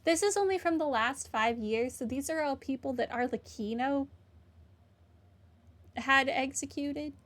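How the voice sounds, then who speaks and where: High-voiced woman giving wry game commentary. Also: a little slow, long pauses, fairly bright tone. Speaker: gamer doing a playthrough. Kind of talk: casual game commentary, reading on-screen text aloud.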